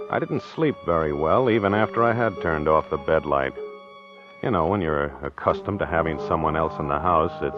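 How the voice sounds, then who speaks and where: An American actor playing a mild, befuddled middle-aged husband in a 1940s radio mystery, hesitant and deliberate. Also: male voice, deep voice. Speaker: narrator and lead character. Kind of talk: 1940s radio acting, first-person narration. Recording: muffled old radio recording with music.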